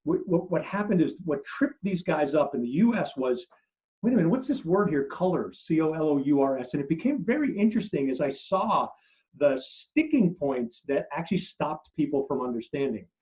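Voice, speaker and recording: A middle-aged man whose first language is German, answering interview questions as a marketing expert and telling stories with many restarts, trailing low at the end of phrases. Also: normal speed. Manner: animated storyteller, many restarts, drops low at phrase ends. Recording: muffled lo-fi audio.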